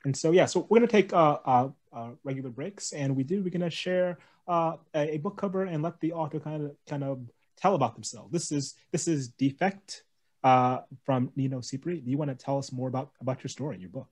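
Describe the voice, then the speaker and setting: A man hosting an informal online stream over a video call, speaking in a casual, halting way. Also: fairly high pitch, darkish tone. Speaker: host. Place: indoors, on a group video call.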